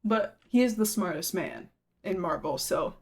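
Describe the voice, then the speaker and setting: A woman's voice: fairly low pitch, expressive, a little slow. A woman hosting a reaction video with casual, chatty commentary.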